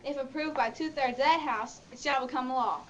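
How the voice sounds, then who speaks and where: A woman's voice: sits high, fairly quick, dull audio, over loud music. A woman reading a formal legal document aloud as a participant. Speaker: participant reader. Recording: faint background noise.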